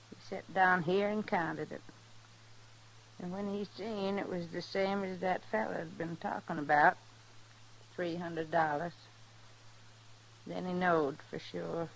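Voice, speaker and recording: An actress playing a middle-aged, long-abused rural wife in a radio western, plain and quietly resolute, with a rural American accent. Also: female voice, fairly low pitch, creaky. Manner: plain, unhurried, folksy. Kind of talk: 1950s radio western acting. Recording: noisy old radio drama recording.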